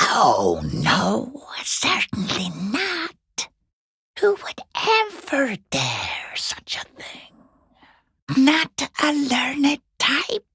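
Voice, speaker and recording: Casting: furtive, mocking game creep, husky half-whisper, slow theatrical drawl, dripping sarcasm. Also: male voice, high voice, pushed and bright, no room sound, clean studio sound, full character voice. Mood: sarcastic, theatrical. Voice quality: hoarse, breathy rasp, often half-whispered. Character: sneering, mocking, furtive peeping lurker behind a gate. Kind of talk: video game character acting.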